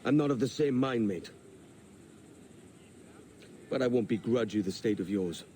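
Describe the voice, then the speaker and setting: An actor playing a roguish, stubborn, easygoing young pirate captain in a video game cutscene. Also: male voice, mid-range voice, a little slow, mellow, slight background noise, close to an everyday voice. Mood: friendly.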